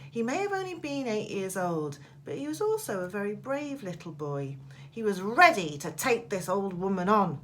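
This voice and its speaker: A woman's voice: mid-range voice, very animated, moderate pace, slight background noise. A woman teacher reading a children's storybook aloud with dramatic, emphatic expression. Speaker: primary school teacher.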